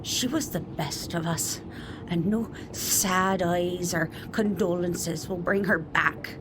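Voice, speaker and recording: A woman playing a grieving, stern leader of the Sirens in a tabletop roleplay, speaking in a measured, grave way. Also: fairly low pitch, background noise. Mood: bitter.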